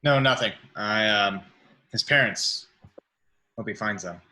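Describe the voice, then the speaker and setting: A man playing a brooding, guarded vigilante hero at a script read, terse and measured. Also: on the low side, pushed and bright. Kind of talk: table read of a film script.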